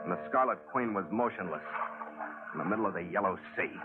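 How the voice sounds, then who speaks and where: An actor playing a tough, laconic sea captain narrating in a clipped, hard-boiled 1940s radio adventure style. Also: male voice, sits low, fast, lightly acted. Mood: grim narration. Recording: tinny old 1940s radio recording, music behind.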